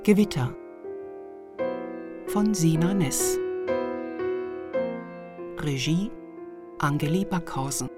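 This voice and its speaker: A woman's voice: low-pitched, expressive, slow, pauses often. A woman reading a scripted title announcement for a radio drama. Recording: loud piano music behind.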